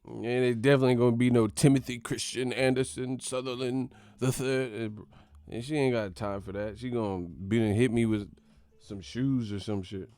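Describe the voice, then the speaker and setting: A man's voice: mid-range voice, fairly even intonation, moderate pace, a little mellow, smooth, dry recording. A man hosting a boxing channel who rants in a slangy, profane way, laughing and mocking as he talks. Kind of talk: profane comedic vlog rant.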